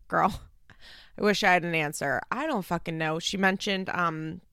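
A woman's voice: lowish voice, measured pace, sharp tone, smooth, dry recording, studio quality. A woman hosting a podcast in casual chat, sounding stuffed up and congested, talking loosely with lots of "like" and the odd swear word. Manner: chatty, frequent "like" fillers, swears casually. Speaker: podcast host.

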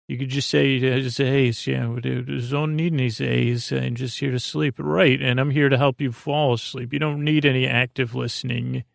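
A man hosting a sleep podcast in a creaky voice, meandering and halting through a silly improvised bedtime ramble. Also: medium pitch, no room sound, clean studio sound.

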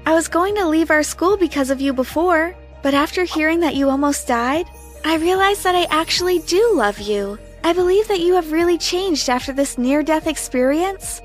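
A sweet teenage girlfriend acted by a woman speaks in a strikingly high voice. She is earnest and affectionate, a devoted character from an animated story, with music playing behind her.

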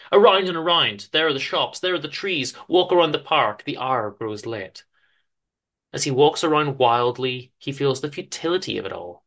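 A man giving a theatrical, emphatic dramatic reading of a story, with deliberate pauses. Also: mid-range voice, pushed and bright. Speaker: host reading his show's story.

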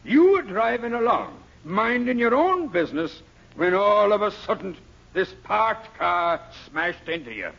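An actor playing a genial but gullible Irish policeman with an Irish accent and a gravelly voice, in a 1940s radio comedy. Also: high voice, very animated, a little slow, fairly bright tone, full character voice. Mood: amiable, chatty. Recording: muffled 1940s radio recording.

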